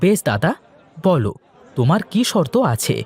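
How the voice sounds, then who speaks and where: An eager-to-please young village man in a cartoon , played by a man. He sounds respectful and a little hesitant as he pleads. The voice is slightly rough and rises and falls a lot. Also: a little high, very animated, moderate pace, darkish tone. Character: earnest, deferential young jobless village man seeking help. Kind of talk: Bengali moral-story cartoon dialogue.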